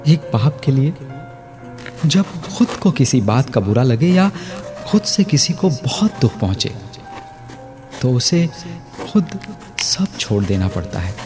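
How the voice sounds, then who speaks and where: An actor playing an aging, remorseful scholarly father in a reflective radio drama, speaking pensively with halting, dramatic pauses. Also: medium pitch. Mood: remorseful, sorrowful. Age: older. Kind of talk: Hindi radio drama monologue, literary and reflective.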